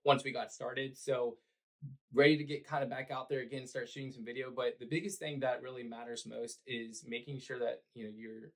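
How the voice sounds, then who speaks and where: A man whose voice is hoarse and raspy, with a gravelly edge that drags at the ends of phrases. He speaks at a middle pitch in an earnest, relaxed podcast-chat way. He leans on fillers as he explains. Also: fairly quick, low-quality recording. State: hoarse from cheering at a game. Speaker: realtor and podcast guest. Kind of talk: conversational podcast interview.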